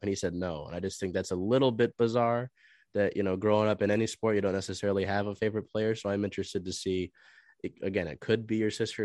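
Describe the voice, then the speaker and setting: A man's voice: lowish voice, dry recording. A man hosting a sports podcast interview, a little nasal and stuffy from a cold, who asks long, rambling questions. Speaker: podcast host. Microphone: video call.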